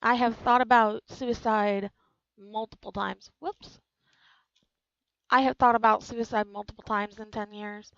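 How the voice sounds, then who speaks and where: A woman's voice: a little high, fairly even intonation, unhurried, a bit bright, clear, resonant tone, no echo, muffled recording. A woman in her twenties, speaking frankly and earnestly about her own life, repeating key lines for emphasis. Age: 20s. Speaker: channel host. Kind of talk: personal testimony to camera.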